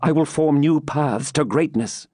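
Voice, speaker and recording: A man's voice: fairly high pitch, unhurried, warm tone, dry recording, clean studio sound. A man voicing a proud, fierce male knight templar who speaks in an impassioned, commanding way in a storybook reading. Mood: ardent.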